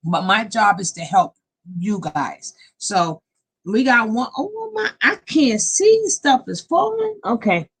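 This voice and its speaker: A woman hosting a live online panel, talking up a storm with a bright, ringing tone. Her voice sits a little high, and she laughs easily between points. She is heard over a video-call mic. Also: takes it slowly, breathy edge. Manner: animated, laughing, talks up a storm. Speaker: host of a live panel stream. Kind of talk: casual live-stream chat.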